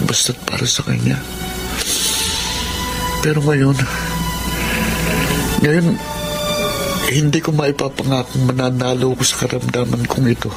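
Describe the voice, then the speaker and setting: An actor playing a gravely ill young man, a devoted and tender grandson, in emotional Filipino drama style. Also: medium pitch, level tone, a little slow, long pauses, rough recording. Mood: anguished, pleading. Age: young adult. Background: music.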